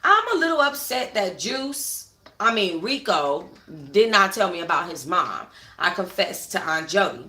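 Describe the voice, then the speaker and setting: A woman's voice: medium pitch, big pitch swings, unhurried, sharp tone, reverberant. A woman narrating a first-person story for a book club in a dramatic, sassy, slangy manner.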